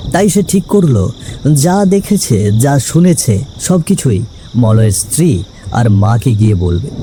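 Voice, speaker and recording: Bright-voiced male story narrator. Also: medium pitch, a little hiss or hum. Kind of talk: dramatic scripted narration.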